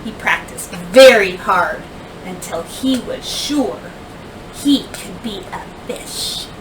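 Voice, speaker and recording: A woman teacher reading a children's picture book aloud expressively, with deliberate phrasing, dramatic pauses and emphasis. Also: mid-range voice, animated. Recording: distant mic, faint background noise.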